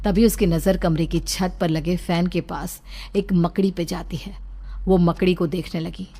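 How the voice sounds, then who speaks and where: A woman's voice: lowish voice, steady tone, unhurried, mellow. A woman reading scripted narration for a horror cartoon story.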